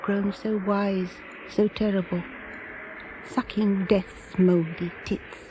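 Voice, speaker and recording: A solemn woman played by an actress recites dark verse like an incantation, slowly and at a medium pitch. It sounds like 1980s radio drama, with clear noise from an old broadcast recording. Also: level tone, close to an everyday voice. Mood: eerie, tender. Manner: measured, incantatory.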